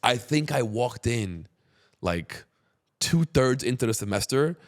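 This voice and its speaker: A man's voice: medium pitch, animated, unhurried. A man in his thirties from Queens, New York, hosting a casual chat, blunt and joking, and he swears freely. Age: 30s.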